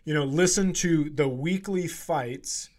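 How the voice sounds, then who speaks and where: A man's voice: fairly high pitch, slow, a little mellow. A man hosting a podcast, chatting casually and jokingly with informal, sweary talk. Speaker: podcast host. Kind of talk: casual comedic podcast chat.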